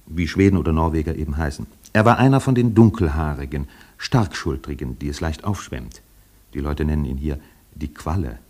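An actor playing an observant storyteller, narrating a literary radio play in a measured, wry way. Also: male voice, rumbling low, darkish tone. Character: observant storyteller describing island townsfolk.

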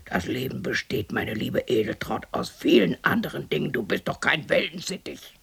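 An actress playing a lonely, bitter widow of sixty in a radio play, talking to herself in a husky, creaky voice that often drops to a whisper, scolding and questioning herself. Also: female voice, extremely low pitch, expressive, normal speed, full character voice. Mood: brooding, self-scolding. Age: 60s. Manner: talks to herself, scolding and questioning, abrupt shifts.

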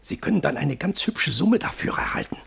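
Radio play role: unhelpful, self-satisfied doctor, gravelly and husky, blandly professional and officious. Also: male voice, on the high side, big pitch swings, a bit bright, exaggerated voice. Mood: officious, advising. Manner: deliberate, complacent, professional. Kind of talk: German radio drama acting.

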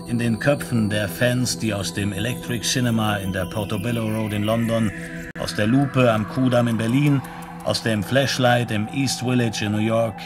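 A man narrating a science fiction radio play as a detached storyteller, in measured, clipped phrases. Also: on the low side, natural voice. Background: music.

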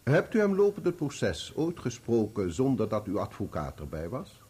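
An actor in a Dutch radio play, playing a formal courtroom examiner who questions a witness deliberately. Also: male voice, medium pitch, huge pitch swings, a little mellow, slightly rough. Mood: formal, businesslike.

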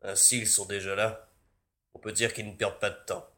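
A man acts out a terse mercenary commander in an audio space opera. The voice is slightly low with a crisp, bright edge. It is matter-of-fact and sounds roomy. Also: little pitch movement, brisk. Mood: alert, businesslike. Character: brisk, businesslike male mercenary leader. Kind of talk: science-fiction audio drama acting.

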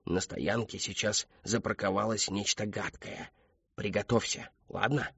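A man's voice: fairly low pitch, steady tone, unhurried, vocal fry, husky, no echo, lo-fi sound, heavily acted. A man voicing a sly robot cat that speaks as a grandmother in a conspiratorial whisper, for a story. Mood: secretive, warning. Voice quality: hushed whisper. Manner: conspiratorial, instructing.

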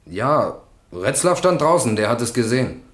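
A man's voice: medium pitch, big pitch swings, normal speed, fairly bright tone. An actor playing an evasive, sullen man on trial in a radio crime drama, giving terse, reluctant answers in a roomy, echoing space. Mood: defensive.

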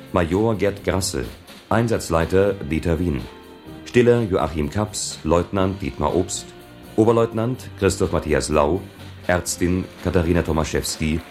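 Male announcer, deep, formal scripted credit read, music underneath. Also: darkish tone. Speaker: radio announcer reading closing credits. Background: music with guitar.

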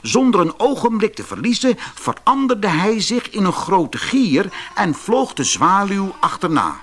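A man narrating a scripted fairy tale audiobook in an expressive, dramatic storytelling manner. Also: high-pitched, unhurried, slightly rough, faint music behind.

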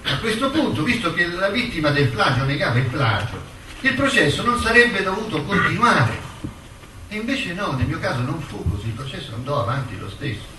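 An actor playing an accused intellectual who recounts his own trial with measured, ironic rebuttals in a radio drama. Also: a little high, very animated. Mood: measured, rueful. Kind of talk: theatrical first-person radio drama narration. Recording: roomy echo, distant mic, faint noise.

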